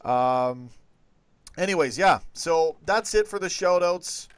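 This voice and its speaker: A man's voice: on the high side, expressive, slow pace, clean tone, no room sound. A man hosting a live football talk stream, chatting in a laid-back, rambling way. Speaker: host.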